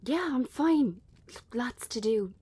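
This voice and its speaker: A woman's voice: a little high, lively intonation, takes it slowly, mellow, husky, full character voice. A woman playing a wary, weary young bartender with a defiant edge, in a dramatic script reading. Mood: hushed, anxious.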